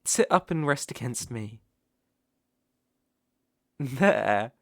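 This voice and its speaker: A man acts out a possessive, lovesick stalker with a British accent in an intimate audio roleplay. His speech is slow and coaxing, with a creaky edge, often sinking toward a whisper. The sound is close and dry. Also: medium pitch, lively intonation, dry recording. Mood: tender, possessive. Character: obsessive, tender yandere stalker, softly possessive man. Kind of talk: intimate ASMR roleplay acting.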